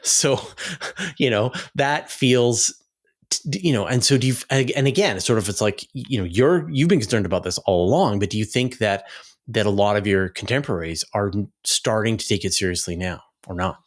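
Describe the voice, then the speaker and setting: Low-voiced man hosting a conversational interview. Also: animated, normal speed. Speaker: interviewer, channel host.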